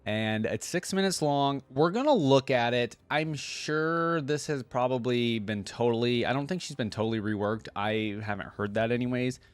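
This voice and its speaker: A man's voice: mid-range voice, moderate pace, few pauses, smooth, dry recording. A man hosting a reaction video, giving informal, conversational commentary with rambling asides.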